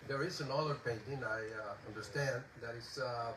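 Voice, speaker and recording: A man from Puerto Rico with a Puerto Rican Spanish accent, speaking hesitantly to a concert audience. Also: mid-range voice. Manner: hesitant, halting, with many fillers. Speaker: composer and pianist. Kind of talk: informal spoken talk to an audience. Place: outdoor garden concert. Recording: distant echoey outdoor recording with noise.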